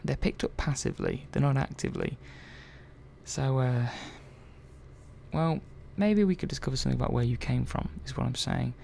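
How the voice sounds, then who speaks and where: Man acting as earnest robot technician, gravelly, dark soft voice, hesitant delivery, ASMR roleplay. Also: medium pitch, a little hiss or hum. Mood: cautious, reassuring. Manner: hesitant, halting, trailing off mid-sentence. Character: earnest, hesitant male robot repair technician, quietly protective.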